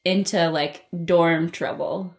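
A woman's voice: on the low side, steady tone, slow, darkish tone. A woman of twenty-one chatting casually and telling anecdotes in an informal, giggly, self-deprecating way as a guest. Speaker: ballet dancer, podcast guest.

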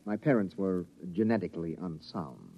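An actor playing a wry, bitter outcast in a 1950s radio drama, sardonic and quietly formal, on a muffled old recording. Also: male voice, deep voice, animated, normal speed, husky, full character voice. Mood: wry, guarded. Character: wry, bitter outcast man with genetic defect.

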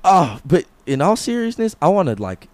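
A man's voice: high voice, very animated, moderate pace. A young man co-hosting a podcast, rambling through a silly made-up story, swearing and laughing often. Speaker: podcast co-host. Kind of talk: casual comedic chat.

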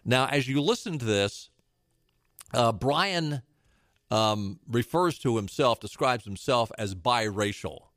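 A male talk show host delivers political commentary with emphasis and a sarcastic edge. His pitch rises and falls a great deal as he jumps from point to point. The recording is dry and close. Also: expressive, slow pace, fairly bright tone, dry recording.

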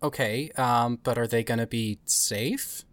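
A woman playing a nervous, hesitant, kindly woman in an audio drama, halting and stammering as she talks. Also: rumbling low, dry recording. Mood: tentative, worried. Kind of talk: hobby audio drama acting.

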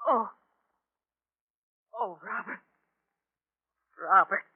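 A woman's voice: mid-range voice, drawn-out words, slightly rough, full character voice. An actress playing a betrayed, wounded wife in a 1950s radio melodrama, pleading and overwrought as she speaks. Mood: anguished. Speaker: actress in a radio crime drama. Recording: tinny old radio broadcast recording.

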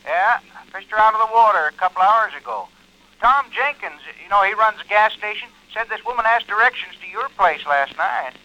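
An actor playing a folksy, plain-spoken neighbour through a telephone filter in an old-time radio drama. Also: male voice, high voice, lively intonation, brisk, bright, forceful tone, full character voice, poor audio. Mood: matter-of-fact, sympathetic.

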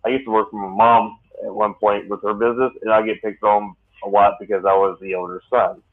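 Halting man in his late thirties on a tinny line. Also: lowish voice, little pitch movement. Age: late 30s. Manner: rambling, halting with many restarts. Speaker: podcast host. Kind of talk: casual podcast chat.

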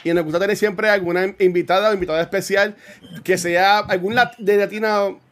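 Male host in his thirties, high-pitched, teasing and loose. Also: steady tone, fairly quick, fairly bright tone. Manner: playful, laughs often, teasing. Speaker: podcast host. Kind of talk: casual gaming podcast chat.

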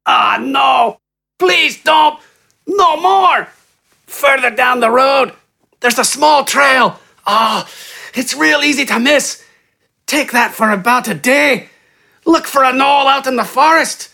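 A man's voice: very high voice, takes it slowly, creaky, long pauses, bright, forceful tone, shouting, pitched above the performer's own voice, exaggerated voice. A man voicing a cowardly injured bandit in an audiobook, whining and pleading in a strained voice as he gasps in pain. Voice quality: strained, whiny. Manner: pleading, gasping. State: gasping in pain.

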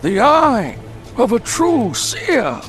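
A sinister old sorcerer intones grandly in a radio play. The voice sits extremely high and swoops widely, drawing out each phrase like a ritual incantation. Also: male voice, huge pitch swings, a bit bright, a little hiss or hum, exaggerated voice. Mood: ominous. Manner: slow, grandiose, incantatory delivery. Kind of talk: old-time radio drama acting.

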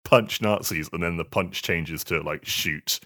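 A man chatting casually as a podcast co-host, in a halting, hesitant way that often repeats words. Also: low-pitched, pushed and bright. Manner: halting, hesitant delivery with stammered repetitions. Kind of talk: casual chat.

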